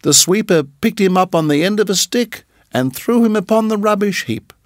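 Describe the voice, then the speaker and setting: A man voicing a feather-brained little male tailorbird who chirps in a high, excitable sing-song. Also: moderate pace, dry recording, clean studio sound. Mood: excited praising.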